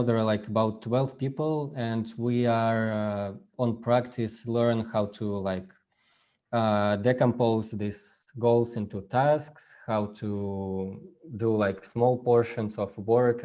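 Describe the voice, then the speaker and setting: A man whose first language is Russian gives an informal workshop over a video call, speaking haltingly with many fillers and restarts. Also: fairly low pitch, mellow. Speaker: workshop presenter. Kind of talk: informal online workshop talk.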